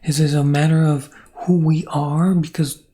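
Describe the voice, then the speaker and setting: A man's voice: a little high, flat delivery, normal speed, mellow, breathy edge. A man whose first language is Spanish, thinking aloud in a rambling monologue with many restarts and questions to the viewer. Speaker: channel host. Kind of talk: reflective monologue.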